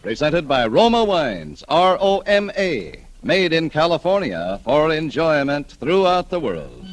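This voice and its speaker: A man's voice: fairly high pitch, huge pitch swings, a little slow, no echo, soft background music. A man announcing in polished, emphatic 1940s radio advertising style, spelling out words for stress. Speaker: radio announcer, sponsor pitchman.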